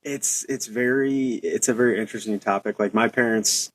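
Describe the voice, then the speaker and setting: Low-voiced young man chatting casually. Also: normal speed, low-quality recording. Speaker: podcast guest, professional cornhole player. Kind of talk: casual chat, telling personal stories.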